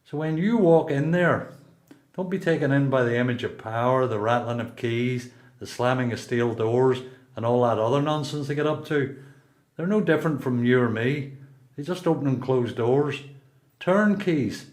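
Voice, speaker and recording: A man's voice: mid-range voice, moderate pace, darkish tone, lightly acted. Reflective Northern Irish prisoner played by a man, echoey room. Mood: firm, urging. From Belfast, Northern Ireland. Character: reflective seasoned male prisoner, regretful absent father. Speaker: amateur performer in a radio play. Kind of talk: radio play dialogue and monologue.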